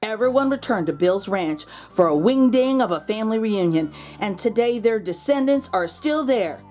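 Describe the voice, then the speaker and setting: A woman reading a children's picture book aloud as host, expressive, drawing out words for drama. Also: mid-range voice, moderate pace. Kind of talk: animated storybook read-aloud for children.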